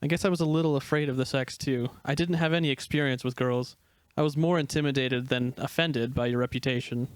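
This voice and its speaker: A man playing an earnest, gentle young gray wolf, shy and devoted, in a dramatic reading of a screenplay. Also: medium pitch, fairly even intonation, fairly quick, smooth, no echo, lightly acted. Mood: earnest, confessional.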